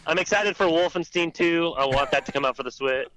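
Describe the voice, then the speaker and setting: A man's voice: a little high, a little fast, fairly bright tone, dull audio. A man co-hosting a podcast, chatting casually with friends about video games. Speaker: podcast co-host.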